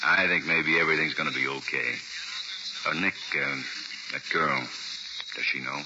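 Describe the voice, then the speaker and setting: A man playing a calm, fatherly assistant district attorney in a 1940s radio drama, measured and reassuring. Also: a bass voice. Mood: kindly. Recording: old 1940s radio broadcast, hissy and dull.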